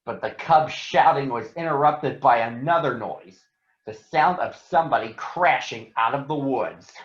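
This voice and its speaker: A man reading a children's story aloud in an expressive, measured manner. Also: medium pitch. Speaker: storybook reader. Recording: dull, echoey room.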